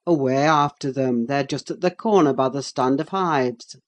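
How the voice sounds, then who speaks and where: A man's voice: mid-range voice, moderate pace, lightly acted. A man voicing a bitter, scornful middle-aged man in an audiobook, speaking with harsh sarcasm. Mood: gruffly hearty. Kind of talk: audiobook character reading.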